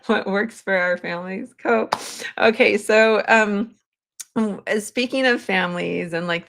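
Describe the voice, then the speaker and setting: A woman's voice: on the low side, creaky, a bit bright. A woman hosting a podcast, interviewing her guests in a conversational way. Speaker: podcast host.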